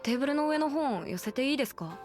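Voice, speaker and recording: A woman's voice: high voice, animated, quick pace, music in the background. An actress playing an easygoing young female college student, casually polite and a little wry, in a Japanese anime audio drama. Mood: polite, accommodating. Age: young adult.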